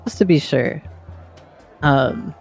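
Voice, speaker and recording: A man with a fairly high voice describes his character's actions in a tabletop game. He speaks slowly in deliberate, halting phrases, with music faintly behind him. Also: gravelly. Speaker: player in a tabletop roleplaying game. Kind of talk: improvised third-person storytelling.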